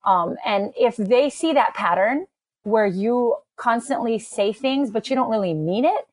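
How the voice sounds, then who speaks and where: A woman in a casual podcast discussion, talking in an earnest, measured, reflective way. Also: on the high side, expressive, no echo. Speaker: podcast panelist and parent.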